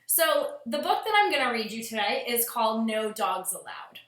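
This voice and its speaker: A woman reading a children's storybook aloud as a schoolteacher would, with expressive storytelling, recorded in a roomy space at home. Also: fairly high pitch, moderate pace.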